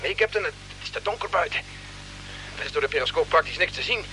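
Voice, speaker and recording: A man's voice: a little high, expressive, fast talker, sharp tone, poor audio. A male actor playing a dutiful spaceship crewman reporting to his captain in a radio play. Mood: subdued, watchful. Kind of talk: mid-century radio play acting. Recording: old radio recording with faint hum.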